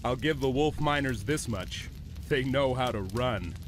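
An actor playing an arrogant, haughty firstborn prince of a warrior clan in a video game. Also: male voice, mid-range voice, a little slow, slight background noise, close to an everyday voice. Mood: smug, scornful. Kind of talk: dramatic game cutscene acting.

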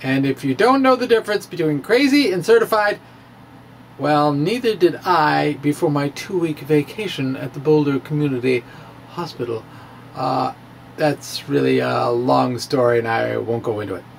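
A middle-aged man giving a theatrical reading of popular science, with drawn-out emphasis and pauses. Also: fairly high pitch, gravelly, a little hiss or hum. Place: small room with bookshelves. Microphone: handheld-style microphone on a stand, close in front.

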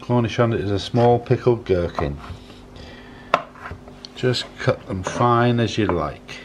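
A man in his sixties talks through a recipe at home in an unhurried, casual way. His voice is medium in pitch. The clicks and clatter of dishes and cutlery are clearly heard around him. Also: background noise. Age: 60s. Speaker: home cook, presenter. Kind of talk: casual cooking demonstration. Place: home kitchen, indoors.

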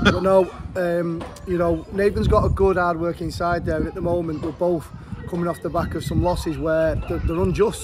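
A middle-aged football manager talks frankly and at length after a match. His voice sits a little high. He is recorded outdoors beside the pitch, with clear wind and traffic noise behind him. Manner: frank, chatty, fast-flowing. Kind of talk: post-match interview.

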